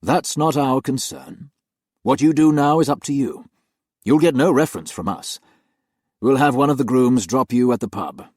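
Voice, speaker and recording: A man voicing a stern gentleman employer who speaks harshly and curtly. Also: medium pitch, animated, moderate pace, no echo, studio quality, voice pushed up, lightly acted. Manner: harsh, curt, adamant.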